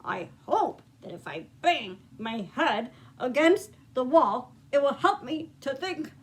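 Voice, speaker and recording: An earnest storybook toad played by a woman, fretful and caring. He speaks deliberately in a fairly high voice that moves up and down with his worry. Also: lively intonation. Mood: determined. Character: earnest, worried male toad, a caring friend.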